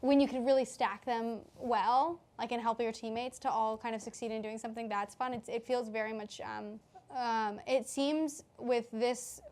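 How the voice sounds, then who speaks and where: A woman's voice: a little high, steady tone, moderate pace, darkish tone. A talkative woman, a tabletop player, thinking aloud in a casual post-game chat.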